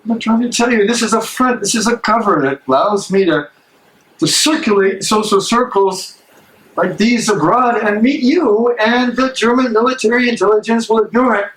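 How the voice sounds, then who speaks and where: An actor playing an anxious but resolute German theologian in a stage reading, with a halting, pleading delivery. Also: male voice, high voice, fairly bright tone, rough recording.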